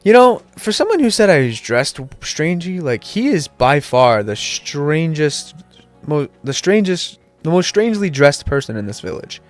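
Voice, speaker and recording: A man's voice: on the high side, big pitch swings, moderate pace, faint music behind. A young man with a nasal, sick-sounding voice, chatting casually while playing a video game. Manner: chatty, rambling asides with restarts. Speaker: let's play host. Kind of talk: casual gameplay commentary.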